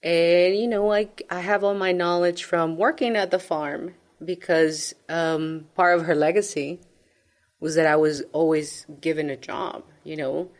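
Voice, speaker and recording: Salvadoran woman, low-voiced, chatty guest. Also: steady tone, unhurried. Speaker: guest, coffee business owner. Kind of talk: radio interview conversation.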